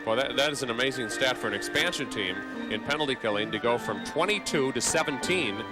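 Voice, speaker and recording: A man doing live ice hockey play-by-play as the announcer, excitable on big plays. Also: medium pitch, fairly quick, loud music behind the voice.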